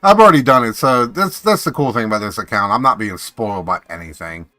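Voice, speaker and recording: A man livestreaming a game with casual, playful, joking commentary and animated reactions, close on a streaming mic. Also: fairly low pitch, fast talker, dry recording, faint music behind.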